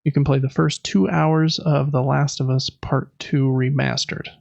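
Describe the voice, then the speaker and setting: A man's voice: mid-range voice, little pitch movement, a little slow, gravelly, darkish tone, no room sound, muffled recording. A man hosting a casual gaming podcast, reading through news lists in a chatty, informal way with personal asides. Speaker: podcast host.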